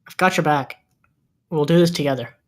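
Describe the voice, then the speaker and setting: Man playing a nervous, halting young bluffer. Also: a little high, natural voice. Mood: earnest. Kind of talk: table read of a film script.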